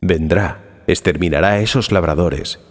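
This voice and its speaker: Guy acting as a deep solemn holy teacher in a bible audio drama, slow-weighted authority, long echoing reverb. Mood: solemn storytelling. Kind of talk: dramatized scripture reading. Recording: long reverberant hall echo.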